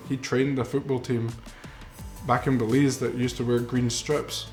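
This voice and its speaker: Earnest young man over soft guitar. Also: mid-range voice, level tone, a little slow. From Mexico. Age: 20s. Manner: earnest, reflective, with some fillers. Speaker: filmmaker. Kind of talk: documentary narration. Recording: roomy with music underneath.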